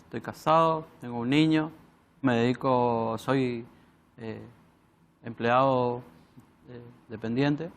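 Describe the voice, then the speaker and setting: A man's voice: medium pitch, steady tone, slow pace, pauses often. Hesitant Argentine man in his thirties. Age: 30s. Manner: hesitant, with fillers. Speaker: guest.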